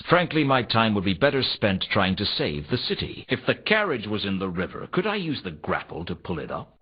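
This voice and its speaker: An actor playing a world-weary, wry noir detective, narrating a game in a deadpan, sardonic way. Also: male voice, lowish voice, husky, heavily acted. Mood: dry, suspicious. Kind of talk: scripted first-person noir game narration. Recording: dull lo-fi game audio.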